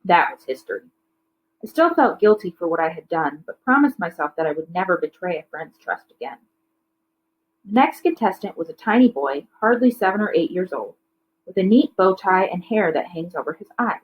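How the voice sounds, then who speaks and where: A woman's voice: lowish voice, animated, moderate pace, rough recording. A woman narrating a children's novel aloud like a teacher, occasionally stumbling over words and correcting herself. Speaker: teacher reading a book aloud.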